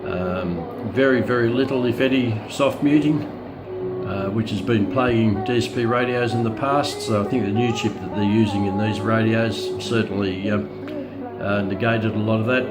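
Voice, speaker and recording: A man giving a casual, unscripted product review as a hobbyist, hesitant and often pausing mid-sentence, with radio audio playing behind him. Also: fairly low pitch, steady tone, reverberant, over loud music.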